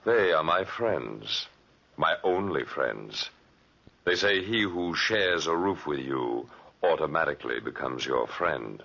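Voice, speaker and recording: An actor in a 1940s radio drama playing a huge, ugly, bitter hermit who speaks with slow-burning, ominous menace. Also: male voice, rumbling low, animated, gravelly, bright, forceful tone, husky, heavily acted. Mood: coldly polite, eerie. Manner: deliberate, ominous, theatrical delivery. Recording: dull, muffled 1940s radio recording.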